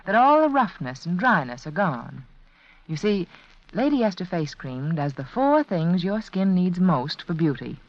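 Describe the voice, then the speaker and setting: A woman reading a 1940s radio beauty advertisement in a measured, persuasive way. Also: fairly low pitch. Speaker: beauty product spokeswoman. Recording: dull vintage 1940s radio broadcast.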